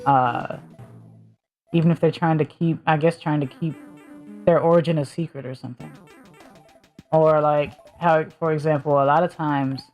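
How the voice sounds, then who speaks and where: A woman's voice: low-pitched, steady tone, normal speed, pauses often, darkish tone, faint music behind. A woman with a mild Southern US accent, chatting casually on a stream and rambling as she thinks aloud with lots of fillers. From Southern United States.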